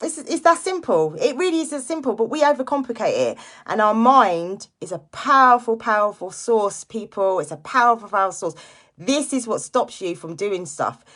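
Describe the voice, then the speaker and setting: A woman's voice: a little high, expressive, normal speed, fairly bright tone. A woman in her late forties giving a casual motivational live chat, speaking informally and directly to listeners and repeating key phrases for emphasis. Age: late 40s. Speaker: live-stream host, direct seller giving motivational talk.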